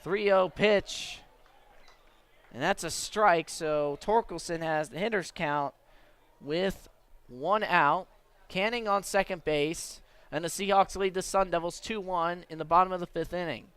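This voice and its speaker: A man with a notably high voice calls baseball live for radio. He works as the play-by-play announcer, keeping his calls measured and pushing them emphatically when the action picks up. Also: fairly bright tone, clean tone, dry recording. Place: at a baseball game.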